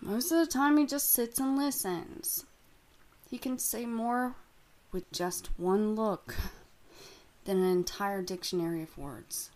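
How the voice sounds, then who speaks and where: An actress playing a secretive young woman from a cursed family, guarded and hushed. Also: medium pitch, slow, close to an everyday voice. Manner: hushed, guarded, then pleading. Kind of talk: audio drama acting.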